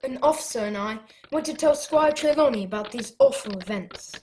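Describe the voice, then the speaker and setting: A woman's voice: fairly high pitch, lively intonation, brisk, a little mellow, a little hiss or hum, close to an everyday voice, rough recording. A woman playing an earnest, respectful boy who narrates his adventure in a radio drama. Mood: grave recounting. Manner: earnest, careful storytelling.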